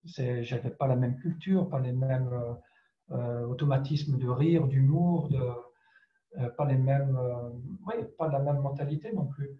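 A man's voice: mid-range voice, unhurried. A man from France, a writer answering interview questions reflectively and hesitantly, searching for his words. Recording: dull, roomy, echoing sound.

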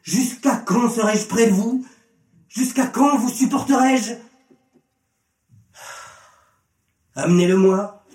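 A man's voice: a little high, unhurried, darkish tone, natural voice. A man voicing a stern, commanding holy teacher in a scripture story, speaking with solemn authority. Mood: exasperated. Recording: echoey room, distant from mic.

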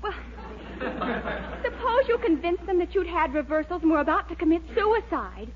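A woman's voice: extremely high pitch, very animated, moderate pace. An actress playing an eager, scheming young girlfriend in a 1940s radio comedy, gushing and persuasive, with an American accent. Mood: insistent, scheming. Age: young adult. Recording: muffled old radio recording, faint hiss.

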